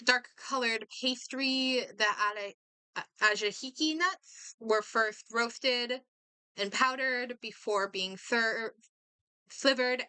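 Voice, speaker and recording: A woman doing casual game stream commentary, reading aloud and reacting in a halting, think-out-loud way. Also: medium pitch, clean tone, no room sound, poor audio.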